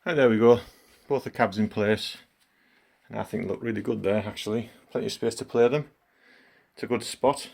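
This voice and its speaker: A middle-aged man with a croaky voice from a cold, chatting casually in a home vlog as he works and comments on it. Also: lowish voice, moderate pace, darkish tone. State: hoarse from a cold. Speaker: gaming channel host.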